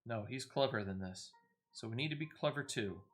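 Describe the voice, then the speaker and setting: A man reading game dialogue as a gruff, blunt seafaring veteran who curses and talks in folksy turns. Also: mid-range voice, expressive, fairly quick, close to an everyday voice. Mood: bitter, resolute. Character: gruff, salty male seafaring veteran commander.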